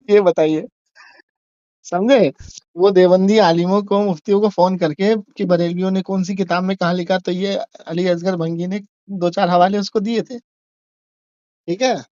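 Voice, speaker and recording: A man's voice: high-pitched, steady tone, a little slow, pauses often, warm tone, clean tone, no room sound, lo-fi sound. A man, talking on the phone as a religious scholar who takes calls from the public. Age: 40s. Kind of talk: phone conversation, religious commentary.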